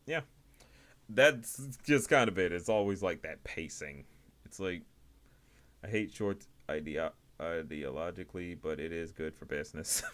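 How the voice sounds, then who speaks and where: A man hosting a livestream, giving casual, rambling commentary in a halting way, often repeating and rephrasing himself. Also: sits low, a bit bright. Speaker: livestream host reviewing indie animation.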